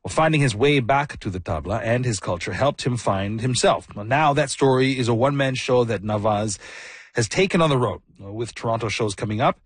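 A man's voice: mid-range voice, brisk, husky, no room sound. A man hosting a radio interview in a studio, attentive and encouraging, prompting his guest with questions and brief affirmations. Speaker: radio host. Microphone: studio mic.

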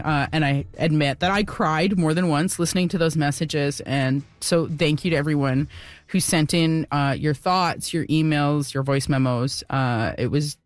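A woman's voice: low-pitched, no room sound. A woman hosting an interview show, talking conversationally and somewhat hesitantly as she searches for her words. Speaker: podcast host.

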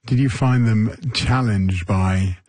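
A man's voice: lowish voice, slow, a little mellow, no room sound. A man, hosting a conversational interview.

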